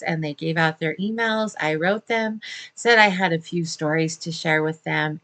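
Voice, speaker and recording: A middle-aged American woman giving an informal video update as an advocate, speaking in an earnest, conversational way with hesitant pauses. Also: sits low, fairly bright tone. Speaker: special education advocate and vlogger.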